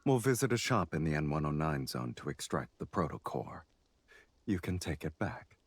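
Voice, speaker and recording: Very deep husky commanding boss. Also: male voice, big pitch swings, normal speed, no echo, exaggerated voice. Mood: calm, inviting. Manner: terse, dryly teasing. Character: commanding, dry, unhurried man, crime-boss love interest. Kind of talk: dubbed game story acting.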